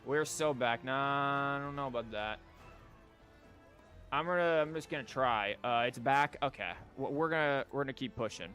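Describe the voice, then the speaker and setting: A man's voice: medium pitch, normal speed, bright, forceful tone. A man livestreaming a game, chatting casually in a rambling, wry and sarcastic way. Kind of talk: casual chat and commentary while streaming a game. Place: live stream from an indoor setup. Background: game music.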